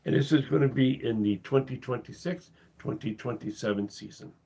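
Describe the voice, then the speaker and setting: A man hosting his cruise channel live, losing his voice and talking in a halting, casual way with many pauses and restarts. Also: medium pitch, dark tone. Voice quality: husky. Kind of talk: casual live-stream talk.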